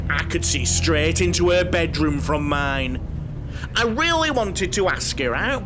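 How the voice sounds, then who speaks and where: An actor playing a naive, over-friendly, lonely mother's boy with a Northern English accent in an audio play, wittering away in a homely manner. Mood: wistful, confiding. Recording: noisy, vehicle rumble behind.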